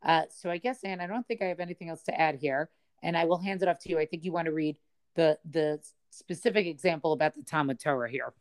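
A woman's voice: sits low, fast talker, no echo. A woman teaching in a study session, explaining at length and thinking aloud with frequent ums and hedging words.

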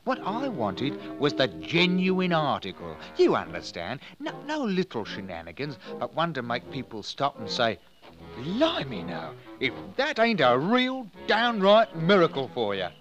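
A man's voice: a little high, huge pitch swings, a little slow, muffled recording, music in the background. An actor playing a timid, fumbling clerk who finds he can work miracles, in a 1950s radio drama. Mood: wondering. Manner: hesitant, fumbling, folksy.

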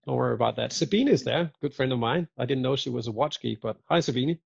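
A man chatting casually as a podcast guest, joking and telling stories in a lively way. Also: mid-range voice, fast talker, gravelly, slightly rough, no room sound. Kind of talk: casual chat. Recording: dull lo-fi audio.

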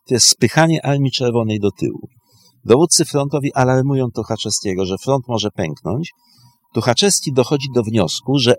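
A man's voice: mid-range voice, no room sound. A man speaking as a historian guest, telling history in an emphatic way with short, repeated phrases. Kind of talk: animated historical storytelling.

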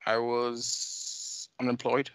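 A middle-aged man with a mixed Dominican and American accent, answering interview questions in halting, conversational phrases. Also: medium pitch, completely flat delivery, a bit bright, clear, resonant tone, no room sound. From United States and Dominican Republic. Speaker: talk show guest, flight sim scenery developer.

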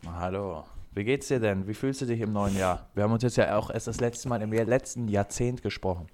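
A young man with a fairly low voice co-hosts a relaxed chat show. He teases his partner and laughs easily, keeping the talk light and conversational. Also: fairly quick, slight background noise. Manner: joking, laughs often. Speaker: podcast co-host. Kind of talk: casual chat.

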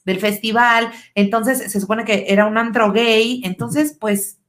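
A woman's voice: fairly high pitch, steady tone, unhurried, a bit bright. A woman with a Mexican accent co-hosting a podcast, telling stories casually in slangy, colloquial speech. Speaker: podcast co-host. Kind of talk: casual chat and storytelling.

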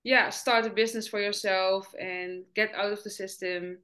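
A woman hosting a podcast, asking questions hesitantly with frequent pauses and fillers. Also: medium pitch, fairly even intonation, bright, forceful tone. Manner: hesitant, many ums and uhs. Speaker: podcast host. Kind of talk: interview questions in English as a second language.

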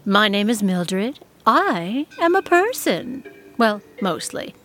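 A woman's voice: mid-range voice, very animated, takes it slowly, fairly bright tone, soft background music. A woman playing a weary, sardonic witch leader in an audio comedy, speaking in a dry, exasperated, theatrical way. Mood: earnest then irritated.

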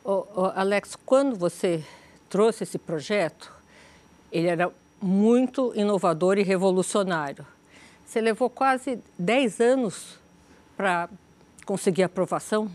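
A woman's voice: medium pitch, measured pace, darkish tone. Woman interviewer, friendly and probing. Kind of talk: interview questions.

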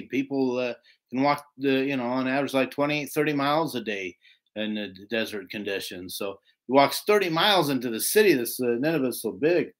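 A man giving an informal Bible lesson, reading and explaining scripture in an emphatic, rhetorical way that keeps checking for agreement. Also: medium pitch. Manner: emphatic, rhetorical, often seeking agreement with "right?". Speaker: Bible teacher.

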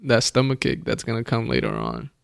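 A man's voice: medium pitch, very animated, brisk, creaky, clear, resonant tone, no echo, clean studio sound. A man chatting casually as a podcast co-host, laid-back and slangy, laughing often. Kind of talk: casual chat. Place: Los Angeles.

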